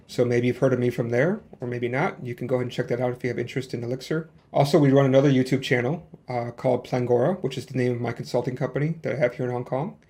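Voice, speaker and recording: A man's voice: medium pitch, darkish tone. A man in his thirties with a Chicago accent, hosting a casual solo podcast and rambling along with many fillers and restarts. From Chicago, USA. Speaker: podcast host.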